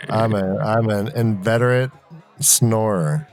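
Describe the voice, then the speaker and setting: A man's voice: on the low side, slow, a little mellow, faint music behind. A man co-hosting a podcast, chatting casually and debating in an emphatic, playfully argumentative way. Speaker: podcast co-host. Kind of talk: animated fan debate, casual chat.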